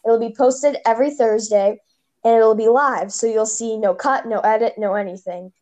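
A woman's voice: a little high, steady tone, moderate pace, a little mellow. A woman hosting a casual podcast over a phone, chatty and rambling, with a slight lisp. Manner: chatty, rambling, restarts often. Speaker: podcast host.

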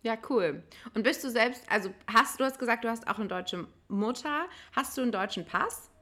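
A young woman hosts an interview podcast in a friendly, upbeat way. She speaks casually and warmly to her guest, with easy greetings and quick reactions. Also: on the high side, lively intonation. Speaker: podcast host.

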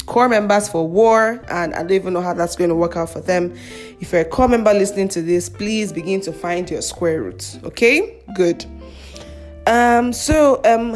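A young Nigerian woman with a Nigerian accent, hosting a casual podcast and chatting through the news with frequent laughs and mocking asides. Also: mid-range voice. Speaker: podcast host.

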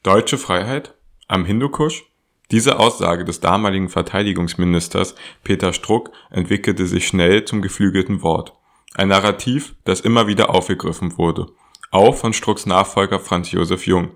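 A man's voice: sits low, normal speed. A man reading scripted documentary narration.

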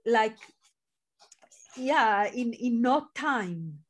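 A woman hosting an interview, talking in a relaxed, hesitant way with restarts. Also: fairly high pitch, very slow. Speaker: interviewer, healer. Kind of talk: casual interview talk.

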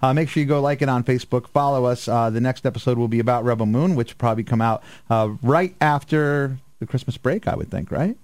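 A man's voice: medium pitch, fast, few pauses, darkish tone, no room sound. A man with an American accent hosting a live radio talk show in a casual, chatty style. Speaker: radio show and podcast host. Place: radio studio.

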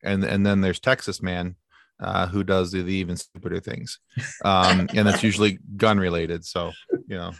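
A man's voice: low-pitched, flat delivery, normal speed, gravelly, a bit bright, slight background noise. A man from the United States, a podcast guest, chatting casually with friendly joking asides. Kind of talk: casual interview chat.

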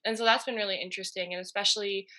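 A woman speaking as a guest in a relaxed conversation, earnest and quick to laugh. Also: mid-range voice. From United States. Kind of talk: casual conversation.

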